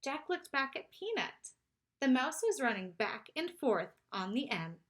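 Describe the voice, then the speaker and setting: A woman narrating a children's chapter book aloud in an expressive storytelling style. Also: sits high, a little slow. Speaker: storybook reader.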